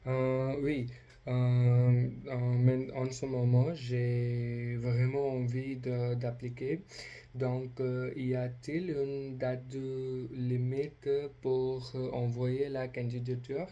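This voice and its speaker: A man with a non-native accent practising a polite role-play inquiry as a language learner, speaking haltingly with many hesitations. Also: mid-range voice, little pitch movement, warm tone, highs cut off. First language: not French.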